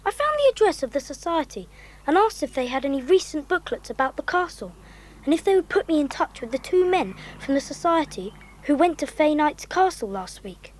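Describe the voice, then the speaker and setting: High-voiced, sensible boy adventurer. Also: very animated, fairly quick. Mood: puzzled, earnest. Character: sensible, polite, well-spoken boy leading child adventurers. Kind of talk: children's audio drama acting. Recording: clear background noise with birdsong.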